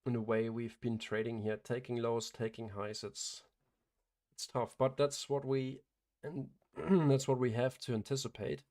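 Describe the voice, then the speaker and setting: Male trading streamer, hoarse and gravelly, flat matter-of-fact delivery, frequent throat clearing. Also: medium pitch, measured pace. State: hoarse, losing his voice. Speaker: day trader hosting a livestream. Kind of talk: live trading commentary.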